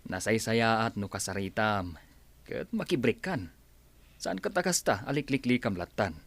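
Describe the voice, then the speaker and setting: A man's voice: lowish voice, sing-song, a little slow, a bit bright, no echo, exaggerated voice. An actor playing a frank young man who chides and advises his friend in a radio drama. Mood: chiding.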